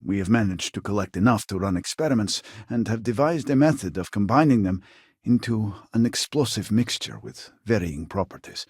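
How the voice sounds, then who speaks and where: An actor playing a scholarly, earnest and weary researcher in a video game, speaking formally and precisely with a husky voice. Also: male voice, lowish voice, lively intonation, a little mellow, dry recording, clean studio sound. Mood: measured, formal. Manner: formal, measured, articulate, precise wording. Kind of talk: video game character dialogue.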